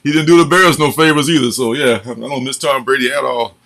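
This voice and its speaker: A man's voice: mid-range voice, steady tone, brisk, a bit bright. A man in his forties, co-hosting a casual chat. He talks in a chatty way, laughs often, and uses a lot of fillers. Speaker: co-host.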